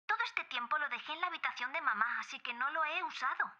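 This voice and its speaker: Casting: testy young daughter on a call, high sharp voice, audio drama, slightly muffled line. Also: female voice, quick pace. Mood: annoyed, defensive. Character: irritated young daughter defending herself to her father.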